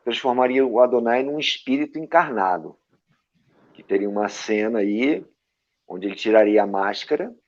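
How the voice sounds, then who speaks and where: Brazilian actor, male, mid-pitched, animated storyteller, dull lo-fi sound. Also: moderate pace. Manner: lively anecdotal, frequent "né?" tags. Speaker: actor and director, guest. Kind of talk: storytelling interview answers. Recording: dull lo-fi audio.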